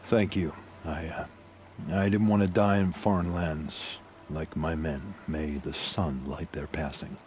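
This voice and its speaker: A man's voice: rumbling low, level tone, a little slow, darkish tone, a little hiss or hum. A man playing a proud but battered soldier in a video game, with a stiffly formal, haughty manner. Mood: subdued. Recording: music behind the voice.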